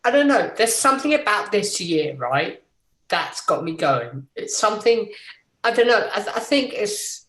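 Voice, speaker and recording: A woman's voice: medium pitch, big pitch swings, normal speed, a bit bright, roomy sound. A woman whose first language is Swedish, a guest teacher who chats in a nervous, hesitant, self-deprecating way. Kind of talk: casual chat.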